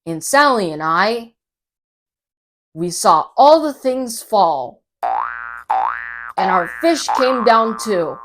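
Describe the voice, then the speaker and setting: A woman reading a storybook aloud to children in an animated storytelling style. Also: on the high side, takes it slowly, pauses often.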